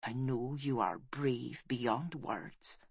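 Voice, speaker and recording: A brave clansman voiced by a woman reader in a fantasy audiobook. The voice is low and unhurried, with a grave gentleness and an earnest, measured delivery. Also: animated, no echo, heavily acted. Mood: gentle, solemn. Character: brave clansman leader, solemn and gentle man.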